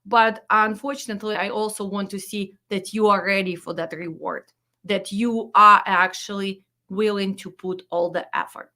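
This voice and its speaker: A woman's voice: mid-range voice, steady tone, moderate pace, pushed and bright. A woman with a Ukrainian accent giving a blunt, emphatic instructional talk as a freight dispatch trainer, repeating her instructions and speaking slightly broken English. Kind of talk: direct-to-camera instructional talk.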